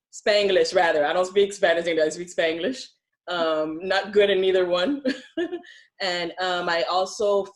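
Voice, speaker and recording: Female panelist, slightly low pitch, informal and halting delivery. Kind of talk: casual self-introduction and panel talk.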